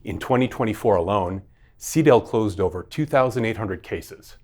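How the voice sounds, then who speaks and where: A man hosting an event, delivering a formal prepared speech in a measured, official way. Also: lowish voice, lively intonation, a little mellow. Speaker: event host.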